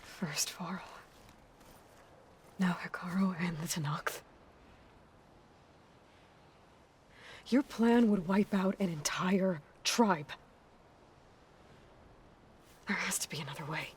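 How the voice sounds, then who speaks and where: Woman acting a resolute young warrior heroine, hushed. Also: fairly low pitch, measured pace, slight background noise, close to an everyday voice. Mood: grave, hushed. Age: young adult. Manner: earnest, resolute, often hushed and breathy. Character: determined young warrior heroine, stubborn and protective. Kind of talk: dramatic video game cutscene acting.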